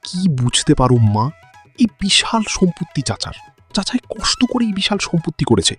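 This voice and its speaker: A man's voice: on the high side, big pitch swings, moderate pace, a bit bright, breathy edge, soft background music, full character voice. A man playing a kind-hearted, earnest young son in a cartoon, with a questioning manner and a Bangladeshi accent. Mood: questioning, reproachful. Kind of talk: cartoon voice acting.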